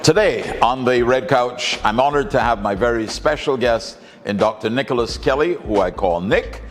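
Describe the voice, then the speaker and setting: Effusive talk show host with hall echo. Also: male voice, mid-range voice, normal speed. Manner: effusive, repeats words. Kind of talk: interview hosting.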